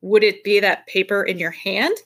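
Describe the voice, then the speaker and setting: A woman voicing a polite, brisk and patient male professor in a children's storybook, bright and decisive. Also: medium pitch, steady tone.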